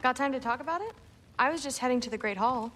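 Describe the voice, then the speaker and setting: A woman's voice: high-pitched, fast, a bit bright. A woman playing a confident, friendly teenage Norse warrior girl in a cinematic video game, casual and teasing. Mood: eager, friendly.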